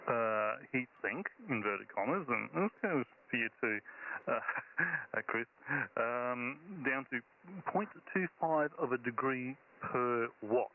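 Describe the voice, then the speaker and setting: A man talking informally and technically as a radio ham over a radio link, halting as he thinks aloud. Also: mid-range voice. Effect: shortwave radio transmission. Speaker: amateur radio operator. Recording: thin narrowband radio audio, clear static.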